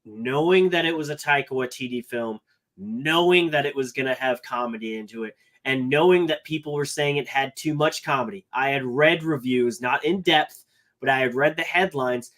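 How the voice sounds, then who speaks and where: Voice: mid-range voice, moderate pace, fairly bright tone. A podcast co-host giving a casual movie review, earnest and thinking aloud as they work through long lists of points.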